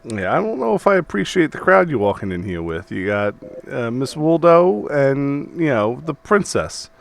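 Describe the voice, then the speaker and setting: A man's voice: medium pitch, sing-song, gravelly. A man voicing a pushy, defensive bookstore owner who talks in a brash, salesy way. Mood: needling. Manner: blustery, salesy, quick to take offense.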